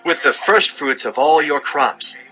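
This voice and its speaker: A man narrating a dramatized audio Bible in a solemn, measured, earnest manner. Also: fairly high pitch. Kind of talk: dramatized scripture reading. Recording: background music under voice.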